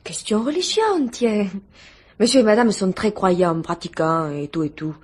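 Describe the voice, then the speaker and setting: A woman's voice: mid-range voice, expressive, measured pace, slightly rough. An actress playing a nervous, gossipy housemaid in her thirties in a French radio mystery, hesitant and confiding. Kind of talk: French radio play acting.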